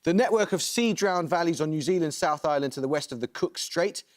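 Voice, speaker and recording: A man hosting a student quiz show, reading questions and giving rulings in a crisp, brisk manner. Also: fairly high pitch, expressive. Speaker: quizmaster.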